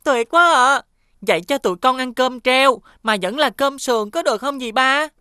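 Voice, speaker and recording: A woman's voice: high voice, animated, moderate pace, bright, forceful tone, clear, resonant tone, no echo, clean studio sound. A woman voicing an eager little schoolboy in a children's cartoon, with childlike, exclamatory phrasing. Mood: excited.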